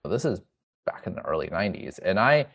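Guy in his 30s, deep-ish low voice, reflective, just talking about his life. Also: very animated, a little fast, creaky, fairly bright tone, highs cut off. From Chinese American, in the US from childhood. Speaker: interview guest, physician. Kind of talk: reflective, conversational personal storytelling.

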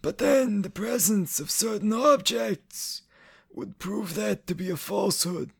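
Cruel fantasy interrogator: high, raspy, slow and formal, smugly menacing, condescending. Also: male voice, full character voice. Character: cruel, smug inhuman city official interrogating a prisoner.

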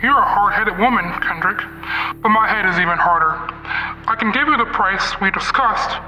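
A man's voice: high-pitched, moderate pace, sharp tone, a little hiss or hum. A man playing a menacing, hard-headed villain in an old-time radio mystery, clipped and melodramatic. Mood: threatening. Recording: echoey, far-off radio with music underneath.

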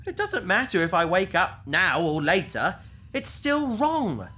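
A woman's voice: lowish voice, wildly swooping pitch, unhurried, fairly bright tone, heavily acted. A woman playing an indignant, pleading captive in a science fiction audio drama, speaking in an earnest, protesting way. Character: indignant, pleading female captive victim on operating table.

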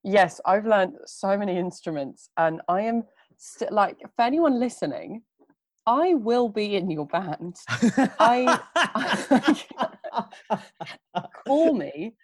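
A woman's voice: mid-range voice, very animated, slow pace, no echo, clean studio sound. A young woman chatting casually on a podcast, bubbly and self-mocking, often laughing at herself.

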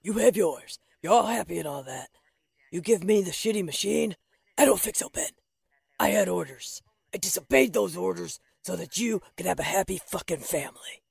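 Woman acting scruffy shady man, very creaky, rough-edged voice, slangy, resentful, demanding, audiobook villain. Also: fairly low pitch, animated, normal speed, darkish tone, no room sound, studio quality, pitched above the performer's own voice, full character voice. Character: scruffy, shady, resentful male drifter, husband's old crony.

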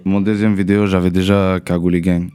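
A young man is answering questions in a relaxed interview. His is Arabic. He speaks haltingly, restarts his phrases and tags sentences with a loose "là". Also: deep voice, smooth. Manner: halting, repeats words, trails off with "là". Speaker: guest rapper. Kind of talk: casual interview chat.

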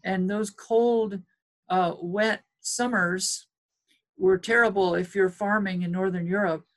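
A woman archaeologist as an interview guest, explaining her research in a measured way with some hesitations. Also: medium pitch, fairly even intonation. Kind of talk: expert interview answers.

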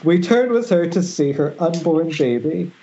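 A man's voice: fairly high pitch, animated, moderate pace, dark tone. A man narrating screen directions at an informal table read over an internet call, slightly stumbling through a dramatic reading. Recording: dull, noisy internet call.

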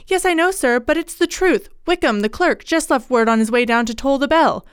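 Woman acting an agitated rustic housekeeper, high-pitched. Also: fairly quick, dry recording, clean studio sound, natural voice. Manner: rustic dialect, breathless exclamations.

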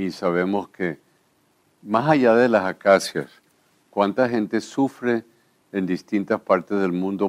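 An older man speaks as a pastor, his voice sitting very low. He talks earnestly and slows on key words. The delivery is calm and pastoral. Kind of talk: church radio conversation.

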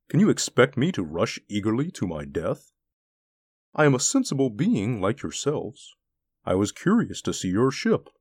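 A man voicing a huge, gentle alien beast in a story, speaking in an articulate, formal, pensive way. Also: mid-range voice, husky, no room sound, clean studio sound, exaggerated voice. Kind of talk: storybook character acting.

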